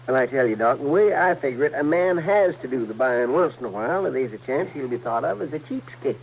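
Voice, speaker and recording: A man's voice: mid-range voice, lively intonation, brisk, vocal fry, slight background noise, rough recording. An actor playing an amiable, earnest townsman in an old-time radio Western. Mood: earnest, friendly.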